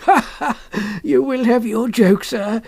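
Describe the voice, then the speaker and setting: A man's voice: extremely high pitch, a little slow, gravelly, darkish tone, pitched above the performer's own voice, exaggerated voice. A man voicing an obsequious, wily antique dealer in an audiobook, full of wheedling sales patter and colloquial grammar. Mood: wheedling, deferential. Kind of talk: audiobook character acting.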